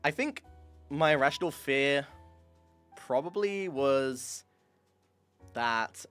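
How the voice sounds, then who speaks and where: Young guy streamer, candid and sweary, voice bounces around a lot, throat a bit worn, soft music behind. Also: medium pitch, takes it slowly, clear, resonant tone. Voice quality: says his voice is monotone, tries to liven it. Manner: candid, swears often, self-deprecating. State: throat worn from years of screaming. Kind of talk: casual podcast chat.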